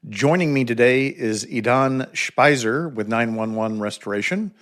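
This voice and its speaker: A man hosting a podcast interview, talking in a halting, thoughtful way with many restarts. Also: medium pitch, a bit bright. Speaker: podcast host. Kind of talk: conversational interview.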